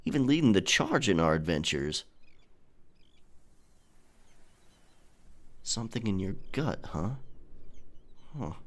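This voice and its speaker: A man playing a roguish, affectionate pirate captain in an intimate, teasing romantic ASMR audio roleplay. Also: fairly low pitch. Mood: earnest, persuading.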